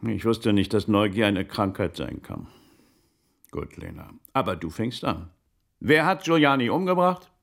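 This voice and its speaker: An actor in a German radio drama playing a shrewd, sardonic older magister with dry, commanding, biting delivery. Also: male voice, lowish voice, animated, unhurried, gravelly, fairly bright tone, exaggerated voice. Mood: sly, hushed. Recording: long reverberant hall.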